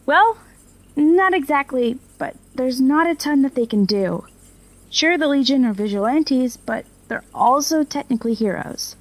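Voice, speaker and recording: Female informant actress, audio drama: slightly high pitch, loyal, tentative, earnest, understated naturalistic delivery. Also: expressive, a little slow, full character voice, low-quality recording. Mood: reporting, unsure.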